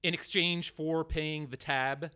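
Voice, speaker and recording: A man's voice: on the high side, steady tone, slow, pushed and bright, smooth. An actor playing an exasperated male thespian in a comedic radio play, declamatory and indignant. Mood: grudging. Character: exasperated, indignant actor who recites poems, grandly melodramatic.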